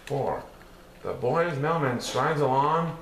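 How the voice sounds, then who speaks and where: A man's voice: medium pitch, slow. A man reading a children's picture book aloud in verse, with a theatrical, rhythmic, sing-song delivery. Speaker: storybook reader. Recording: roomy echo with some background noise.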